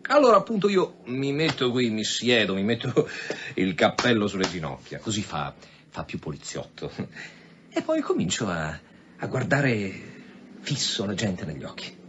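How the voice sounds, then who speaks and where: An actor playing a sardonic, insistent police commissioner in an Italian radio drama, with sarcastic repetitions and veiled threats. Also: male voice, fairly low pitch, huge pitch swings, normal speed. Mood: sardonic, menacing. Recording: dull sound with faint background noise.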